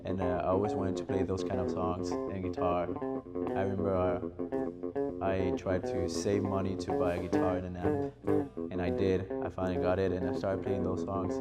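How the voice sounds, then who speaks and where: Deep, even-voiced man over loud guitar. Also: moderate pace. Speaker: musician interviewee. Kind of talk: casual interview recollection. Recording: loud guitar music underneath.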